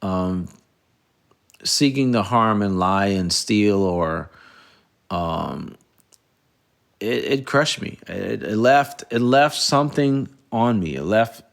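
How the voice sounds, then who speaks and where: Low gravelly man from the Bahamas. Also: lively intonation. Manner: earnest, halting with frequent restarts and fillers. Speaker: podcast host. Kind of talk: reflective solo podcast monologue.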